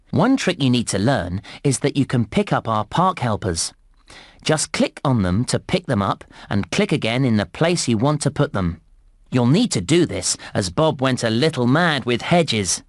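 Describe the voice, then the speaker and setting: A British man voicing a cheerful, encouraging theme park guide in a children's computer game, speaking clearly and enthusiastically. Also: medium pitch, dry recording, studio quality, close to an everyday voice. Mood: friendly, instructive. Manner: enthusiastic, clearly enunciated children's presenter delivery. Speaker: game narrator and tutorial guide. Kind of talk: scripted children's game narration.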